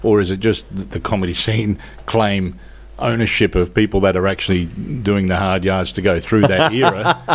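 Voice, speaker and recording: A man's voice: sits low, normal speed, few pauses. A middle-aged Australian man hosting a casual interview, rambling conversationally through his questions and recollections. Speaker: show host, comedian.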